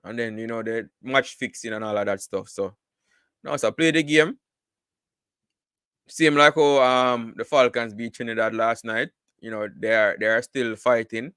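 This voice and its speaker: A man's voice: mid-range voice, steady tone, moderate pace, pushed and bright, clear, resonant tone, no echo, studio quality. A man giving casual live cricket commentary, full of repeated words and "you know" fillers.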